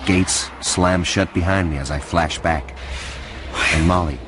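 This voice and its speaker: A man's voice: low voice, a little slow. An actor playing a tense, hard-boiled hacker who narrates his own heist in a radio drama. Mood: terse, focused. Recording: music behind the voice.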